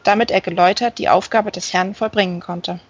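A woman voicing a gentle, learned male fallen angel in an audiobook, earnestly explaining scripture. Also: medium pitch, steady tone, brisk, breathy edge, muffled recording.

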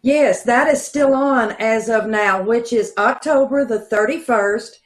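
A woman's voice: fairly high pitch, measured pace. A middle-aged woman in a room at home, chatting and giving casual announcements over a video call. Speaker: co-director and producer of a radio troupe. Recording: roomy, echoing video call.

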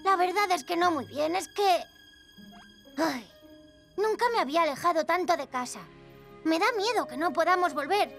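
A woman's voice: very high voice, animated, moderate pace, creaky, pauses often, a bit bright, faint music behind, heavily acted. A woman voicing an anxious, sweet little boy superhero in a cartoon dub, earnest and childlike, with a Castilian Spanish accent. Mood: worried.

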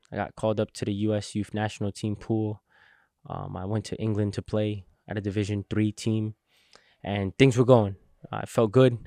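A young man in his twenties speaks in an earnest, steady storytelling manner with a few fillers. His voice sits a bit low, recorded close and dry on a boom podcast mic in a warehouse studio. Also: no echo. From New Jersey, United States. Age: 20s. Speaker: guest, logistics entrepreneur. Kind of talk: interview storytelling. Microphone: boom-mounted podcast mic.

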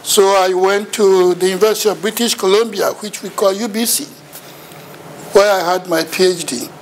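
A man's voice: high voice, fairly even intonation, normal speed, a little hiss or hum, poor audio. A middle-aged man with a Ghanaian accent giving a seminar lecture in a lecture room, telling his story with self-mocking humour. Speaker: visiting university lecturer. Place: lecture room in front of a projection screen.

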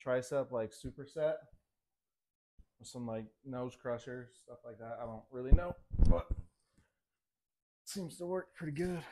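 A man's voice: medium pitch, measured pace, pauses often, warm tone, poor audio. A young man vlogging his workout in a home gym, rambling casually between sets and winded from lifting, picked up by a camera across the room. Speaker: fitness vlogger. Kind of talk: casual commentary during a workout.

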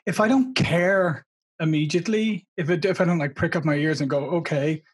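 Male host, 50s. Husky, slightly high voice, chatty, keeps rephrasing. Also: brisk. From Ireland. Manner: chatty, loose, rephrases himself often. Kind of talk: casual interview over video call. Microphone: desk microphone.

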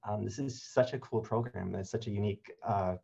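A man's voice: on the low side, fairly even intonation, brisk, creaky, dark tone, slightly rough. A man in his thirties giving an informal thank-you speech over a video call. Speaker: award winner. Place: indoor office. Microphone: computer mic on video call. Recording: dull video-call audio.

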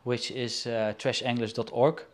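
A man in his forties from the Netherlands, talking in a casual interview from a shelf-lined room over a video call, pausing often with fillers and restarts. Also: medium pitch, little pitch movement. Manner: halting, with frequent fillers and restarts. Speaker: guest artist and angler. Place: indoor studio room with shelves.

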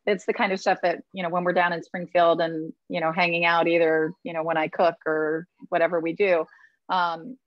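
Casting: low-voiced woman legislator, candid and chatty, dry muffled video-call sound. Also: flat delivery, moderate pace, no echo. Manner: chatty, many fillers, candid. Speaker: state representative. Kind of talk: virtual town hall discussion.

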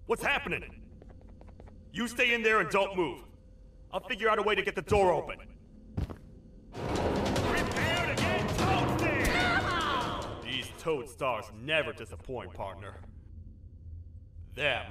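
A man's voice: mid-range voice, distant mic, noisy background, close to an everyday voice. A man playing a massive, loyal toad sheriff in a video game, earnest and folksy like a frontier lawman. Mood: alarmed, fierce. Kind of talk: video game character acting.

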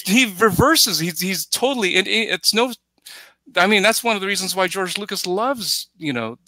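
Bright-voiced man, rambling and emphatic. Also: high-pitched, animated, moderate pace, no echo. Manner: rambling, emphatic, acts out imagined quotes. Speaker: podcast guest commentator. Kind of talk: casual film discussion. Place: indoors, plain room, video call. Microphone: headset.

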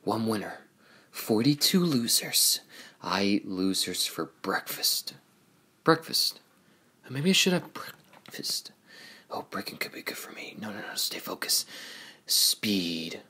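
A man voicing a cocky, excitable young talking race car in an amateur cartoon audition, with theatrical, emphatic delivery. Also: on the low side, slow pace, breathy edge, full character voice. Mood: hushed, focused.

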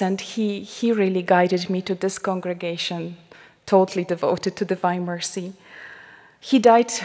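A religious sister with a Polish accent giving a heartfelt talk to a congregation, slowing to a hushed tone when she prays. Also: medium pitch. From Poland. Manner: slow, heartfelt, drops to a hushed near-whisper in prayer. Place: large church shrine. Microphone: headset mic. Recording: long church reverb.

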